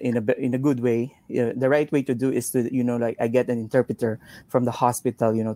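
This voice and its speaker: A man with a mellow, unforced voice and English as his second language. He talks casually and earnestly as an interviewed nurse, circling back to repeat his points. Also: medium pitch, dry recording. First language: not English; English is second language. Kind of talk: casual anecdotal conversation.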